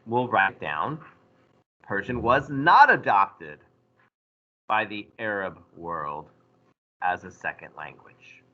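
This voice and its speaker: A man teaching a history class, lecturing in a lively way and putting questions to his students. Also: on the low side, takes it slowly, long pauses, muffled recording. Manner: animated, asks the class questions.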